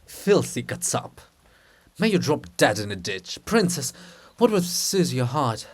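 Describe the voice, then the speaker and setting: A man's voice: mid-range voice, big pitch swings, a little slow. A man voicing the monument of a gallant, indignant statesman in a theatrical manner.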